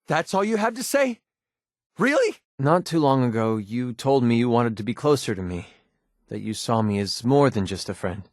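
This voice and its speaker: A guarded young male mercenary from a video game, acted by a man, with a mid-pitched voice in a very dry recording. He is sarcastic and quick to bristle, yet loyal underneath. His delivery is naturalistic and plain-spoken, with an earnest, wounded edge. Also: very animated, no echo, lightly acted. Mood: hurt, angry. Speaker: companion character in a video game.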